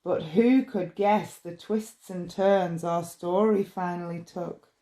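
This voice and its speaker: A woman reading a rhyming bedtime story in a measured, expressive way. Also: on the low side. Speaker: storybook reader.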